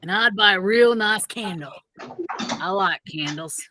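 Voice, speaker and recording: Woman acting dim storybook man, wild-bearded simpleton, medium pitch, halting, earnest delivery. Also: pushed and bright, a little hiss or hum. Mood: dim, dreamy. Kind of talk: children's storybook character reading.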